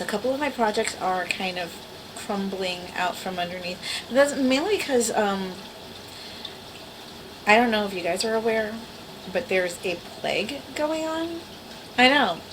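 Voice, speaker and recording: A woman's voice: medium pitch, background noise. Small-voiced woman rambling to camera. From the Bronx, New York. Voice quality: small, girlish voice. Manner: rambling, self-deprecating, wry. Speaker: vlogger. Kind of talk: casual chat to camera. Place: at home. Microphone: camera mic.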